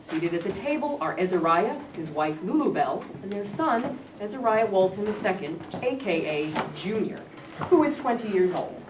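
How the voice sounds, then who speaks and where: A woman's voice: medium pitch, lively intonation, normal speed, a little mellow. A woman reading stage directions as scripted narration at a staged play reading. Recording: distant echoey room, faint noise.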